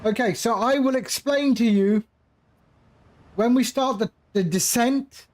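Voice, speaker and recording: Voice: medium pitch, unhurried, slight background noise. A flight simulator streamer giving casual live commentary, patiently explaining and repeating phrases while chatting with viewers.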